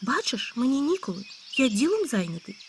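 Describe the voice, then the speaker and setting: A woman voicing a calm, hardworking male hedgehog in a storybook tale, speaking matter-of-factly. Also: sits high, a little fast, very noisy, pitched above the performer's own voice. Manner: calm, matter-of-fact, scolding when cross. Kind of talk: storybook character voice.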